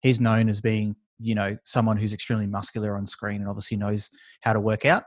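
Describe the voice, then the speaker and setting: An Australian man in his thirties, a podcast guest talking in a relaxed, rambling and friendly way with many filler words. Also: fairly low pitch, brisk, dry recording, clean studio sound. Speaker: guest, esports business founder. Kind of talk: casual interview chat.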